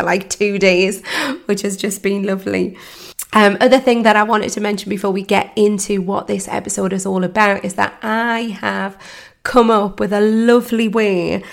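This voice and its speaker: A woman hosts her podcast with a croaky, hoarse voice from laryngitis. There's a gravelly roughness running under her mid-pitched talk. She chats casually, filling pauses with ums and restarting sentences. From United Kingdom. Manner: warm chatty, many ums and restarts. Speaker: podcast host and mindset coach. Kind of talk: casual solo podcast chat.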